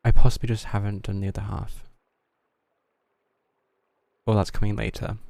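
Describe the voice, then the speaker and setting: A man's voice: lowish voice, flat delivery, normal speed, creaky, dark tone, dry recording. A man casually commentating on his live gameplay, thinking aloud in a musing, rambling way. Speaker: video game streamer.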